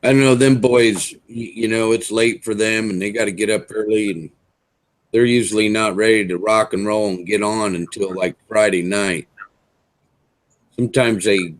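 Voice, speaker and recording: A man in his fifties hosting a casual online video hangout, chatting in an easygoing way with drawn-out fillers over a computer mic. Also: on the low side, fairly even intonation, low-quality recording. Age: 50s. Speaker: host.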